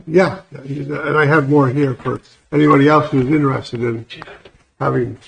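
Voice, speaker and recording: A man with a middle pitch and a gravelly voice chairs the meeting. He keeps it moving with short, polite remarks and hands the floor to the presenters. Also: fairly even intonation, moderate pace, slight background noise, low-quality recording. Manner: brief and courteous. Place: county commissioners' meeting room.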